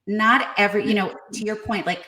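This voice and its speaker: A woman's voice: medium pitch, fairly even intonation, brisk, bright, forceful tone. A woman from New York with a New York accent, speaking as an expert guest in a conversational interview, casual and emphatic. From New York state. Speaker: podcast guest, career coach and HR expert. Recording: echoey room sound.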